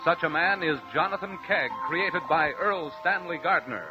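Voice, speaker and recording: A man announcing a radio drama in a grave, portentous, deliberate scripted style. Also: on the high side. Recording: dull old radio broadcast recording. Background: music.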